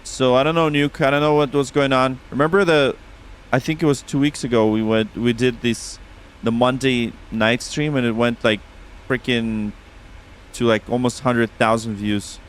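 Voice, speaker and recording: A man's voice: medium pitch, fairly even intonation, normal speed, clean tone. A man with a Russian accent streaming a flight sim, chatting casually with viewers and reading back radio calls on a headset.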